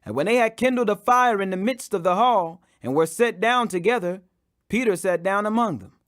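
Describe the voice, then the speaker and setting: Male scripture narrator, notably high voice, solemn, emphatic dramatic delivery. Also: expressive, normal speed, a bit bright. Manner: solemn, emphatic, declamatory in quoted speech. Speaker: audiobook reader.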